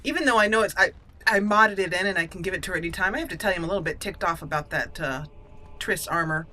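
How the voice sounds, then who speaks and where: A woman's voice: on the low side, fast talker, sharp tone, slight background noise. A woman giving casual roleplay commentary as she plays a game, talking to her character and musing in role. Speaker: gaming channel host. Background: video game sounds.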